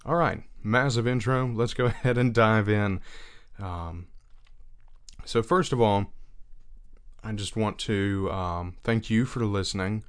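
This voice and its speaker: A young man talking earnestly in a reflective personal monologue, unhurried and thinking aloud as he goes. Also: fairly low pitch, smooth. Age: young adult.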